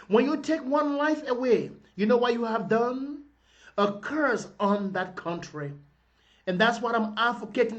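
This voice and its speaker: High-voiced man preaching a fervent address. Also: highs cut off. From Liberia. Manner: preacherly, repetitive rhetorical appeals. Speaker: political advocate addressing compatriots. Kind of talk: impassioned video address.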